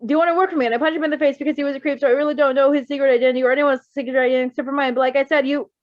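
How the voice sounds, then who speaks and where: A woman's voice: high-pitched, fairly even intonation, very fast, pitched above the performer's own voice, close to an everyday voice. Woman as a nervous, rambling young male villain. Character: anxious young male villain, sarcastic, rambling and self-deprecating. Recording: dull lo-fi microphone.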